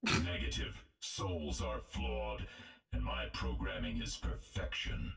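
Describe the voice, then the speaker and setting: An actor playing a cold, arrogant killer robot in a video game, speaking formally and precisely with a gravelly voice. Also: male voice, very deep voice, animated, slow, a bit bright, faint music behind, heavily acted. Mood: haughty.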